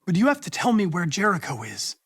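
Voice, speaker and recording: A man's voice: high-pitched, very animated, brisk, a bit bright, breathy edge, exaggerated voice. A man voicing a polite, coolly logical young android detective in a video game, with formal, precise phrasing. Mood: calm, formal. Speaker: police investigator android.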